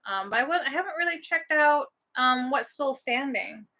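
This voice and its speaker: Female co-host, American, casual livestream chat, slightly high and clearly bright tone. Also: expressive, moderate pace. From Missouri, US. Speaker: live stream co-host. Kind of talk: casual chat, answering viewers' comments.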